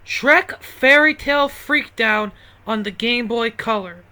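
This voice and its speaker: A woman's voice: a little high, slow pace, bright, forceful tone, rough recording. A woman narrating a video game review, sweary and exasperated, given to ranting outbursts. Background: music.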